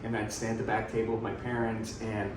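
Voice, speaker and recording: Male guest, 30s, relaxed and rambling, low voice, distant echoey noisy recording. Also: fairly quick. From grew up in Thailand. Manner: relaxed, rambling with frequent fillers. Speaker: missionary guest. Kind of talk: casual storytelling. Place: room in Thailand.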